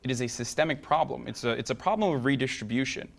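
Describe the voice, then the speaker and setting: A man with a Turkish accent, Turkish his first language, answering in a debate, halting with many fillers and restarts. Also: mid-range voice, creaky, a bit bright. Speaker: debate guest.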